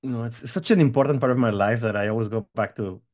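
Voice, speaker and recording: Man, 40s, guest, friendly, rambling, medium pitch. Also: quick pace, darkish tone. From Mexico. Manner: friendly, rambling, many fillers and restarts. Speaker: guest, tech company founder. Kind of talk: interview chat.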